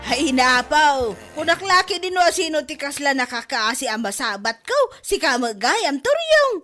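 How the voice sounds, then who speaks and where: A woman's voice: sits high, very animated, normal speed, faint music behind, full character voice. A woman playing a nagging mother. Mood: scolding. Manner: loud nagging exclamations. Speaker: Nana, a mother. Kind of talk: amateur comedy drama acting.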